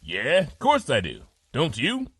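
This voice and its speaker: A man's voice: medium pitch, huge pitch swings, measured pace, fairly bright tone, breathy edge, no room sound, heavily acted. A man playing a hearty, folksy pony cook in an audio drama, blustery and informal with a drawl. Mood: hearty, friendly. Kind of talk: audio drama character acting.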